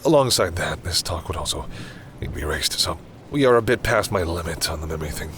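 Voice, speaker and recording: Man playing a deep-voiced, haughty vampire. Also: animated, slight background noise. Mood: negotiating, apologetic. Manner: haughty, deliberate, often drops into hushed brooding. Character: commanding male vampire, menacing yet reluctantly caring toward humans. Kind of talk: dramatic audio roleplay addressed to the listener.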